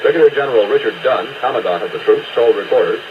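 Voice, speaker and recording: A man's voice: mid-range voice, expressive, quick pace. A man with an American accent reading a scripted 1960s network radio newscast, on an old noisy taped broadcast. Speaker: radio news anchor. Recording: muffled noisy 1969 radio cassette recording.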